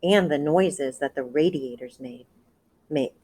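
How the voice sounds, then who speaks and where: A woman's voice: deep voice, a little slow, mellow, slightly rough. A woman voicing an anxious little girl mouse in a storybook, fretting in worried questions. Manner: fretful questioning.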